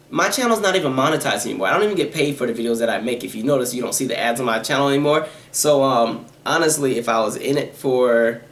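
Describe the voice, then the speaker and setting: Fast-talking nasal man, echoey room. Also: fairly bright tone. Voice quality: high-pitched, nasal voice. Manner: talks fast and rambles, with many fillers and asides. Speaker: YouTuber answering viewer questions. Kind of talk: casual Q&A vlog.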